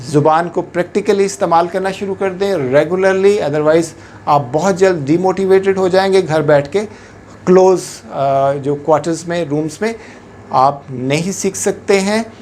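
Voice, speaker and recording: A man's voice: high-pitched, a little mellow, slight background noise. A man from Pakistan, an English teacher giving a patient instructional talk and switching often into English. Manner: measured, patient explaining, switching often into English words and phrases.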